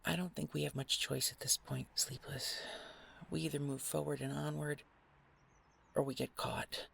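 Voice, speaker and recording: A woman playing an anxious, protective nightmare creature in a horror audio roleplay, with a husky, gravelly voice and a halting, earnest manner. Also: deep voice, level tone, a bit bright, slight background noise, heavily acted. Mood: resolute, protective. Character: protective, anxious female nightmare companion, devoted to human.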